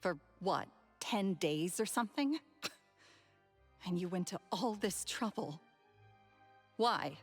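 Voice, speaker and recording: A woman playing a bitter, guarded former phantom thief in a video game, with measured, dramatic, cynical delivery. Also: on the low side, expressive, breathy edge, exaggerated voice. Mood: incredulous, scornful. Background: background game music.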